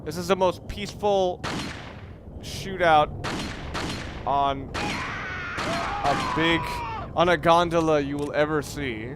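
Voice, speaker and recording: A man's voice: a little high, big pitch swings, slow, pauses often, noisy background. A young man giving casual, rambling commentary over a video game and correcting himself as he goes. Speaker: let's play commentator.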